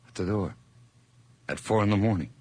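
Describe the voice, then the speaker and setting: An actor narrating as a nervous, obsessive man confessing a murder in a radio drama, his delivery intense and feverish, moving between hushed whispers and sudden outbursts. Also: on the low side, animated, a little fast, a little hiss or hum, lightly acted.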